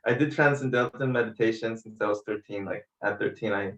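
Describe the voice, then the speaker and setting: A man's voice: lowish voice, brisk, a bit bright, echoey room. A man from Ukraine chatting as a guest on a video call, rambling with many restarts and fillers. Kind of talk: casual chat. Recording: distant, dull video-call audio.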